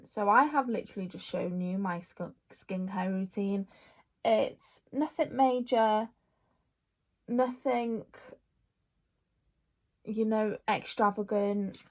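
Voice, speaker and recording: A woman, chatting casually through a makeup Q&A, rambling with lots of fillers. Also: mid-range voice. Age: 20s. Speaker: vlogger.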